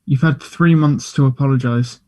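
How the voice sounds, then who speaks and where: A man playing a guarded but gentle, softly teasing young man in a play table read, answering briefly and with reserve. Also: mid-range voice, normal speed, close to an everyday voice. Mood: guarded, questioning.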